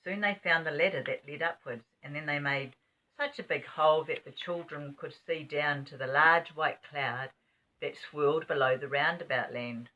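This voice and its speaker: A woman's voice: low-pitched, moderate pace, bright, forceful tone. An older woman reading a children's story expressively, as a grandmother would. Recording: dull, distant home recording.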